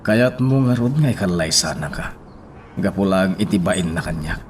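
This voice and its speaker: An actor playing a concerned father gravely counseling his daughter in a radio drama. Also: sits low, expressive, moderate pace, slight background noise.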